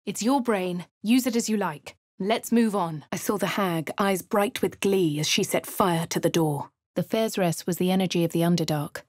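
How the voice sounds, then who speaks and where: Actress for a posh English game heroine: low, crisp, dryly sardonic, close and dry studio sound. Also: female voice, normal speed, few pauses, a little mellow, no room sound, close to an everyday voice. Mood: wry. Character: posh young female adventurer, dry and wry. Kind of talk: video game character voice lines. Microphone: close studio mic.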